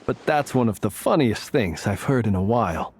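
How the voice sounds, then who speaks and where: A man's voice: lowish voice, animated, moderate pace, a little mellow, slight background noise. An actor voicing a laid-back, teasing man in a game, with a wry, amused manner. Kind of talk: game character voice acting.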